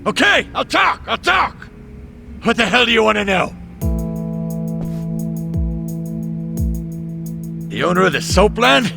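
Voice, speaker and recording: An actor playing a cornered, low-ranking young gang member, blunt, sarcastic and foul-mouthed, in an English video game dub. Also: male voice, sing-song, fairly quick, gravelly, shouting, music in the background, full character voice. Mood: panicked. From Japan.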